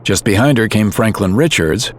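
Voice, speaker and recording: Deep-voiced serious male drama narrator. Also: lively intonation, moderate pace, slight background noise. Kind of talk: scripted audio drama narration.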